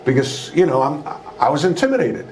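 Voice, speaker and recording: Loud, emphatic male storyteller. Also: fairly high pitch, sing-song, fairly quick, a little hiss or hum, rough recording. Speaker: podcast guest, leadership author and former basketball coach. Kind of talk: conversational storytelling interview.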